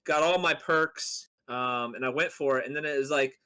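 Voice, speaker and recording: A man's voice: medium pitch, normal speed, pushed and bright. A man chatting casually as a podcast co-host, telling stories in a rambling way with playful mock boasting. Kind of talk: casual chat on a gaming podcast.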